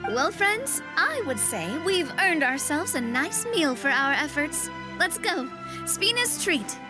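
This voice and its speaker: Very high-pitched perky game girl. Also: very animated, slow pace, full character voice. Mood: cheerful. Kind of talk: anime game voice acting. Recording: music behind the voice.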